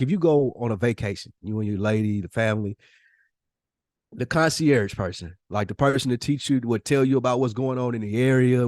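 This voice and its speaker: A man's voice: mid-range voice, a little mellow, no echo, clean studio sound. An American man co-hosting a podcast in casual debate, emphatic and insistent, repeating his points with slang and swearing. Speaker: podcast co-host. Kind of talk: casual debate between friends.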